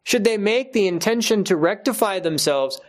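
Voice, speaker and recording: A man's voice: sits high, animated, normal speed. Earnest man giving a religious talk. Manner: earnest, emphatic, repeats key phrases for stress. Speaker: religious teacher addressing his congregation.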